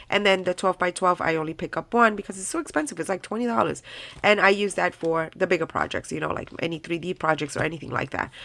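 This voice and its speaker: A woman's voice: fairly low pitch, vocal fry, pushed and bright, dry recording. A woman with a head cold and a nasal voice, chatting casually through a craft supply haul with lots of ums and likes. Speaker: crafting channel host. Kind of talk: casual haul video chat.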